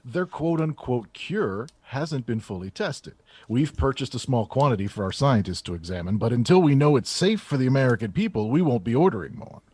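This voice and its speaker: Radio play: male actor as defensive chief of staff, clipped delivery, lively pitch, close dry sound. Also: brisk, few pauses, breathy edge, no room sound. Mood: defensive, firm. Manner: clipped, impatient, all business. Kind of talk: full-cast audio drama acting.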